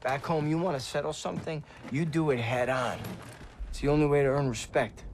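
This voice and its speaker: An actor playing a proud, stubborn but friendly young American soldier in a war game, talking casually with a comrade. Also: male voice, a little high, fairly even intonation, brisk, natural voice. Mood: stubborn, wry. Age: young adult. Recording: noisy battlefield-game ambience.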